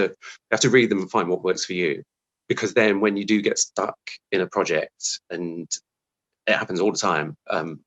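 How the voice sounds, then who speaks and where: A man's voice: deep voice, lo-fi sound. A man talking casually as an interview guest about his craft, thinking aloud and restarting his phrases as he goes.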